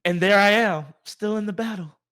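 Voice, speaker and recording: A man's voice: high voice, moderate pace, a bit bright, clean tone. A man hosting a casual video game podcast, thinking aloud in a rambling way and repeating his words. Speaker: podcast host.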